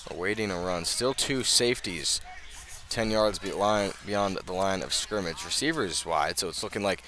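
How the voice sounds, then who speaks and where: A man doing live radio play-by-play of high school football, often halting and correcting himself as he goes. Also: pushed and bright. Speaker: play-by-play sports announcer.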